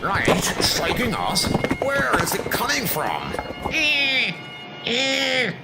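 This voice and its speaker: A man's voice: extremely high pitch, wildly swooping pitch, very slow, over loud music, pitched above the performer's own voice, heavily acted. A man voicing a panicked, pleading mole-man invader in a comic-book audio drama. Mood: startled.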